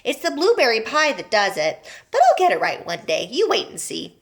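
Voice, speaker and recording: A woman's voice: on the high side, huge pitch swings, moderate pace, a bit bright, heavily acted. A woman voicing an eccentric male candy maker who sighs and frets. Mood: sighing. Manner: fretful, sighing, apologetic.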